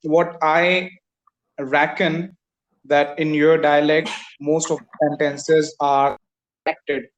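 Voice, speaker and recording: A man's voice: fairly high pitch, level tone, takes it slowly, dull audio. A man hosting a casual video-call conversation to practise English, asking short, careful questions. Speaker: host, English learner.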